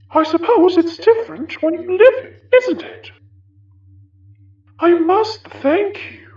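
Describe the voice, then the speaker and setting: A woman's voice: very high voice, a little mellow, echoey room, dull audio, full character voice. A woman playing a genteel, smug, sinister possessing spirit in a horror audio drama, with theatrical, condescending old-fashioned phrasing. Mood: smug, menacing.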